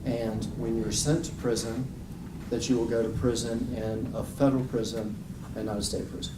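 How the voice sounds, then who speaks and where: A male attorney works through a witness with measured, leading questions, his delivery level and unvaried. He is picked up from across an echoing courtroom, the audio carrying a steady hiss. It sounds like a courtroom feed rather than a close microphone. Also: mid-range voice. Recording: echoey courtroom audio from far off, hissy.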